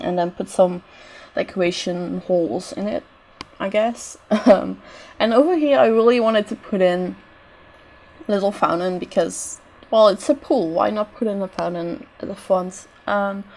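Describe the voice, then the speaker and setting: A woman's voice: mid-range voice, darkish tone. A woman with a bad cold, her voice straining, giving casual rambling commentary over a building game with lots of "I don't know" asides. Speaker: game builder narrating a renovation.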